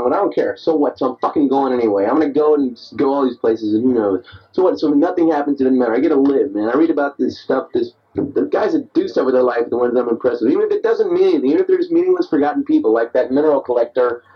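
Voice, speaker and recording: Man, early 30s, tipsy late-night rambler, husky tone, muffled tape from off-mic. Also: medium pitch, distant mic. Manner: rambling, self-deprecating, restless. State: tipsy after beers. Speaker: diarist recording himself. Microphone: answering machine recorder.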